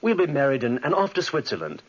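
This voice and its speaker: A muffled old radio recording of a driven young scientist haunted by his work. His husky voice swings expressively in earnest, formal 1940s drama style. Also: medium pitch, huge pitch swings, fast. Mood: intent.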